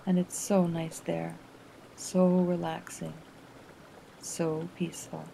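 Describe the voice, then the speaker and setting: A woman narrating a guided relaxation exercise in a gentle, soothing manner, with unhurried phrasing and pauses. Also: lowish voice, little pitch movement, creaky, a little hiss or hum, rough recording.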